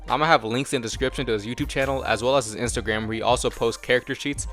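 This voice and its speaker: A man's voice: mid-range voice, fairly even intonation, rapid-fire, fairly bright tone. Casual young American man, music underneath. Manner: slangy, cursing, jokey. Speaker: video essay host. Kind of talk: casual scripted commentary.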